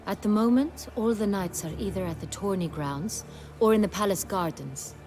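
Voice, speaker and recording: An actress playing a commanding, regal young duchess in a video game, speaking formally and with authority. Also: female voice, medium pitch, normal speed, darkish tone, close to an everyday voice. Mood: thoughtful, formal. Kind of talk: video game cutscene acting. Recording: music behind the voice.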